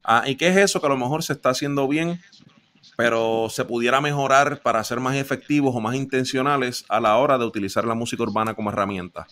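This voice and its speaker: A man's voice: mid-range voice, fast talker, a bit bright. A young man hosting an interview with upbeat, friendly, slang-laced talk. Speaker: host. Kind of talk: casual interview. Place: home studio with shelves.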